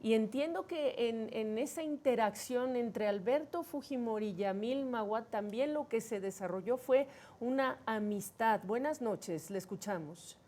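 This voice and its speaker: Woman news anchor, fairly high voice, mellow tone, composed, polite questions. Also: a little slow. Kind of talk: live news interview.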